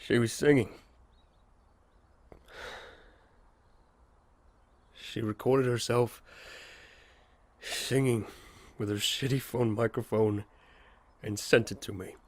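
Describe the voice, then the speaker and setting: A man acting a grieving young man in an audio drama, speaking tenderly and haltingly about his sister. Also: mid-range voice, steady tone, a little mellow, no room sound. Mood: wistful.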